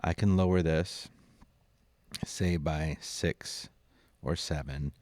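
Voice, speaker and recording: A man narrating a software tutorial as an instructor, informal and thinking aloud as he goes. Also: extremely low pitch, fairly even intonation, slow pace, dry recording, clean studio sound. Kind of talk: casual screen-recorded tutorial narration.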